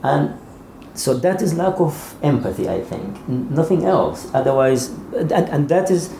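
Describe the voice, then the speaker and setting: Male interviewee, dark gravelly tone, halting conversational delivery, echoey room with faint noise. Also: a little high, animated. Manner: halting, repeats words as he searches for phrasing. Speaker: interviewed author and publisher. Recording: roomy echo, faint background noise.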